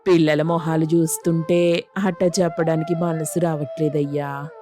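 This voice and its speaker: Woman voicing a low-pitched, coaxing mother. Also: level tone, moderate pace, mellow, faint music behind, lightly acted. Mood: pleading. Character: tender, pleading village mother and wife. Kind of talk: scripted moral story dialogue.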